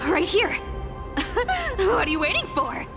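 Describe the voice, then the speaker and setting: A woman's voice: extremely high pitch, very animated, slow pace, over loud music, exaggerated voice. A woman voicing a playful, teasing young female treasure thief in a video game, greedy, bossy and theatrical. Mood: excited, bossy. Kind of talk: animated video game voice acting.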